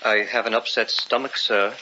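Formal, deferential radio butler, noisy recording. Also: male voice, fairly low pitch, fairly even intonation, normal speed, sharp tone, highs cut off. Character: Brinkley, formal deferential male household butler, uneasy. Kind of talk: old-time radio drama acting.

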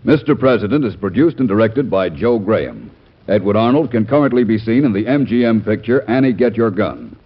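Low, husky male vintage radio announcer. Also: big pitch swings, brisk, soft background music. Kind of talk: scripted 1940s-50s radio announcing. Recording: muffled vintage radio broadcast.

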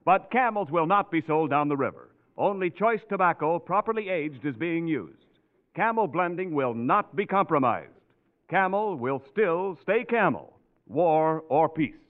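A man's voice: a little high, animated, measured pace, narrow, tinny audio. A man announcing in a polished, emphatic 1940s radio advertising style. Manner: polished, emphatic sales delivery. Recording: thin 1940s radio recording, faint music.

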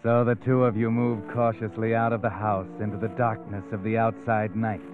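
An actor playing the insinuating narrator of an old-time radio suspense drama, addressing the hero directly in a scripted, suspenseful manner. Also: male voice, on the low side, little pitch movement, normal speed, close to an everyday voice. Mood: hushed suspense. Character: insinuating male inner-voice narrator of a suspense tale. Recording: tinny vintage radio recording. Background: dramatic music.

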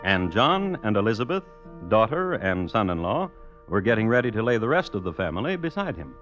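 A man's voice: on the low side, big pitch swings, a little fast, fairly bright tone. A man playing a droll, mock-solemn narrator of macabre tales in an old radio drama. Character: wry male storyteller of macabre tales. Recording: muffled vintage radio recording. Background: music.

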